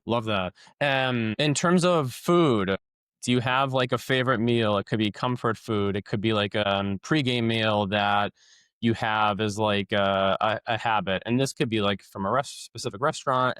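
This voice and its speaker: A man's voice: lowish voice, moderate pace, fairly bright tone, smooth, no echo. A man hosting a podcast, asking casual interview questions with lots of fillers and stumbling restarts.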